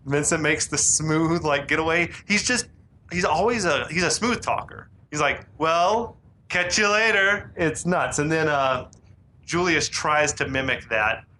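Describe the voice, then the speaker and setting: A man's voice: fairly high pitch, measured pace, bright, forceful tone, slight background noise. A man co-hosting a podcast, casually retelling film scenes and acting out quoted lines. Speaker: podcast co-host. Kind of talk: casual movie recap chat.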